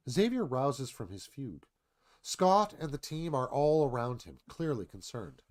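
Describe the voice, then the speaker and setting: A man's voice: medium pitch, very animated, unhurried. A man narrating stage directions in a dramatic, storytelling screenplay read.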